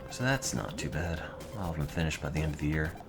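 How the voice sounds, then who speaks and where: A man's voice: rumbling low, sing-song, quick pace. A young adult man streaming and answering his chat in a dry, rambling, offhand way. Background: music playing.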